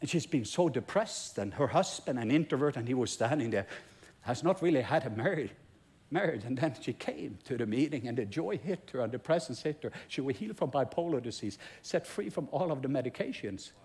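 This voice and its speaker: Norwegian guy preaching in english, kinda husky voice, storytelling sermon that builds up. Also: medium pitch, lively intonation, brisk, darkish tone. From Norway. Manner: storytelling with emphatic, repeated build-ups. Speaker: pastor. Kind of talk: sermon testimony.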